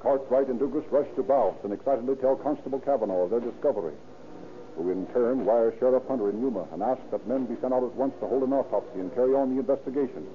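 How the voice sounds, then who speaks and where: A man narrating a scripted old-time radio crime drama in a formal, dramatic, measured manner. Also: medium pitch, a little hiss or hum. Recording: tinny old radio recording.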